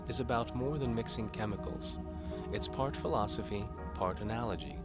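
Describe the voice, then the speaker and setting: Man voicing an earnest young apprentice woman, pitched up. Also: normal speed, over loud music, close to an everyday voice. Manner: earnest, deferential, instructive. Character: earnest, humble young female alchemist's apprentice.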